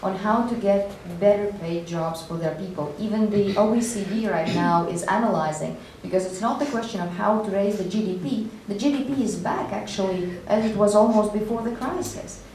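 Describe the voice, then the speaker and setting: Woman, panelist, measured explanatory speech, very roomy distant sound, faint noise. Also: mid-range voice, darkish tone. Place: indoor panel discussion room.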